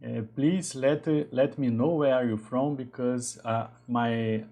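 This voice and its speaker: A man in his thirties from Brazil with a Brazilian Portuguese accent, talking casually on a live stream in a halting way with frequent restarts. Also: medium pitch, fairly even intonation, mellow. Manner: halting, restarts often, greets viewers. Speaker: engineer hosting a live stream.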